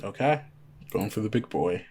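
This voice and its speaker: A man's voice: lowish voice, lively intonation, moderate pace, darkish tone. A man running a tabletop roleplaying game, narrating in a casual, improvised, conversational way. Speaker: game master. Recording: guitar music playing behind the voice. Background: plucked guitar music.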